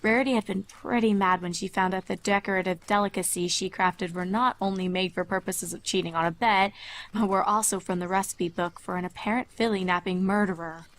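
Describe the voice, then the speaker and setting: A woman's voice: mid-range voice, steady tone, fairly quick, fairly bright tone. A woman reading fan fiction aloud as a scripted story narrator.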